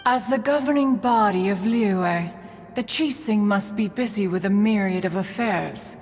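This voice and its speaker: A woman's voice: mid-range voice, a little slow, a little mellow, lightly acted. An actress playing a proud, refined immortal woman in a game, speaking in formal, archaic phrases and referring to herself as "one". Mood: gracious, curious. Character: proud, refined immortal adeptus woman, haughty yet fussy. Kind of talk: scripted game dialogue. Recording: long reverb, music behind.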